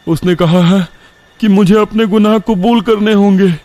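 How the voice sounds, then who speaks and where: A man's voice: high-pitched, fairly even intonation, moderate pace, mellow, voice pushed up, natural voice. A man voicing a frightened, guilty young man in a cartoon horror story, trembling with remorse as he speaks. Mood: fearful confessing.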